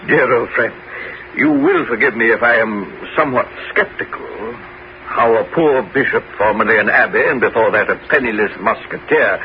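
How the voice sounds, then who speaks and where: An anxious minister, dull radio sound. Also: male voice, medium pitch, very animated, moderate pace. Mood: sceptical, proud. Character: worried, sceptical, devoted male French finance minister. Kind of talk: 1970s radio drama acting. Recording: dull, faintly noisy 1970s radio recording.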